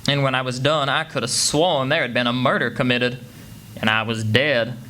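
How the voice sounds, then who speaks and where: A man's voice: mid-range voice, fairly even intonation, normal speed, sharp tone, close to an everyday voice. A man playing a plucky, crafty country boy telling his story in a radio play, folksy. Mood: proud, matter-of-fact. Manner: folksy, plain-spoken. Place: live performance before an audience. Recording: faintly noisy live stage recording.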